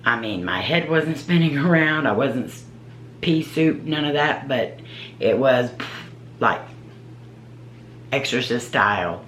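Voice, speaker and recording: A woman with a deep, low voice, a paranormal investigator telling her own story in a casual, earnest, halting way. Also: expressive, a bit bright, slight background noise. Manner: earnest, halting, searching for words. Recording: roomy echo.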